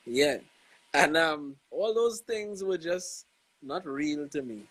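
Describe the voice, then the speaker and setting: A man's voice: on the high side, animated, slow pace, fairly bright tone, low-quality recording. A young man with a Trinidadian accent, a gospel artist speaking reflectively and casually in an interview. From Trinidad and Tobago. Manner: laid-back, reflective, slangy with many "you know"s. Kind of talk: casual interview over a live stream.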